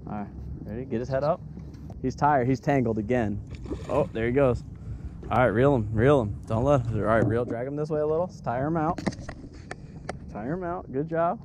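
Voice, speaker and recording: A man coaching his young sons through catching fish, giving quick, repeated instructions from a small boat. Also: medium pitch. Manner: excited, rapid instructions, repeats himself. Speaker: father fishing with his kids. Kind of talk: casual coaching while filming. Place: small boat on open water outdoors. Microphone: action camera on the boat. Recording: wind and boat noise outdoors.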